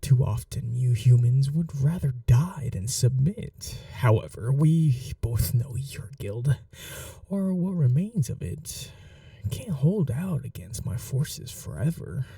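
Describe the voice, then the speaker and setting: A man playing a sadistic, possessive vampire lord in an ASMR roleplay, speaking softly and breathily with courtly, teasing menace and dropping often into whispers. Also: mid-range voice, very animated, slow pace, vocal fry, dry recording, distant mic, exaggerated voice. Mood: smug, silky. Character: sadistic, possessive male vampire lord, suave seductive villain. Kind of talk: ASMR roleplay audio drama.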